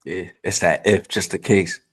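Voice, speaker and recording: A man interviewing a guest on a podcast, answering in a relaxed way with short agreements and questions. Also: deep voice, normal speed, slightly rough. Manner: laid-back, short agreeing replies and questions. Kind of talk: casual podcast conversation.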